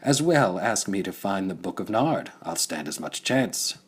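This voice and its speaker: A man voicing a big, defiant, sardonic pirate captain in an audiobook, blunt and terse, with a husky voice. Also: deep voice, sing-song, unhurried, full character voice. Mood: defiant, wary.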